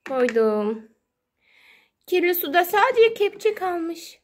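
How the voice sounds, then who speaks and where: High-pitched, slow-talking mother playing. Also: big pitch swings. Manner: sing-song baby talk with repeated words. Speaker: mother playing with her young child. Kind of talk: playful child-directed play narration. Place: indoors at home beside water play tubs. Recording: noisy home phone recording with clicks.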